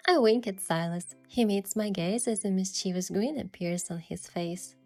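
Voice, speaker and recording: An actress playing a playful, determined young woman who narrates her romantic game adventure in a measured, expressive way. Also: medium pitch, faint music behind, natural voice. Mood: narrating, playful. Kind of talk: first-person story narration and dialogue.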